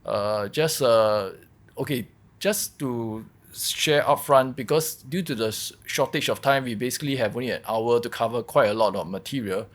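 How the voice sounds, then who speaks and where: Man presenting, halting and explanatory. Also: mid-range voice. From Singapore. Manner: halting, explanatory, repeats words. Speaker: workshop presenter, malware researcher. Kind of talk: technical conference presentation.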